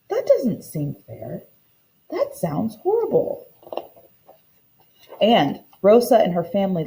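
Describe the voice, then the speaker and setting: A woman's voice: mid-range voice, gravelly, warm tone, poor audio. A woman teacher reading a children's book aloud and explaining it in simple terms for young listeners.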